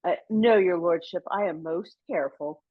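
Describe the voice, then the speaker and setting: A woman's voice: lowish voice, normal speed, narrow, tinny audio, close to an everyday voice. A woman playing an obsequious club steward in a radio comedy, with a careful, hesitant politeness. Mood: hesitant, tactful. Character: deferential, flattering club steward serving his lordship. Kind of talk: radio play comedy acting.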